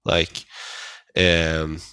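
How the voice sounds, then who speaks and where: A man with a Swedish accent, a native Swedish speaker, chatting casually as an interview guest in halting phrases. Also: very deep voice, completely flat delivery, sharp tone, clean tone, no echo. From Sweden. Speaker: metal band vocalist, interview guest.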